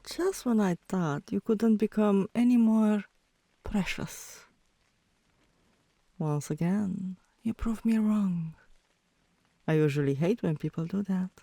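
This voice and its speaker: A woman voicing a teasing, softly dominant tomboy girlfriend in an intimate ASMR roleplay, with a low voice that turns breathy at times and a coaxing, commanding manner. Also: slow pace, long pauses, no room sound. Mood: fond, teasing.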